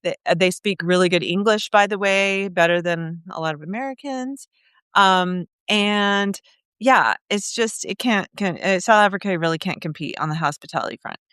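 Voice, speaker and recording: A woman's voice: mid-range voice, normal speed, fairly bright tone, smooth, no echo, clean studio sound. A woman hosting a live video, talking casually with many fillers and restarts. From Kenya. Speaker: host of a live video, trip leader. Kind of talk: casual live-stream talk.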